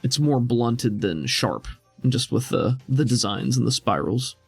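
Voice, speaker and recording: A man narrates a tabletop game and explains its rules as game master. His delivery is conversational and informal, at an average pitch. It is recorded very dry with faint music behind. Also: animated, unhurried, vocal fry, husky, no room sound. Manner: casual, conversational.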